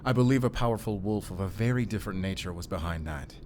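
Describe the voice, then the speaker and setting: Werewolf hunter played by a man, stoic, dry-witted, calm and measured, lowish voice, faint background hiss. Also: lightly acted. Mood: grave. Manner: calm, measured, matter-of-fact. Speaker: audio roleplay lead. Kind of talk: cinematic audio roleplay acting.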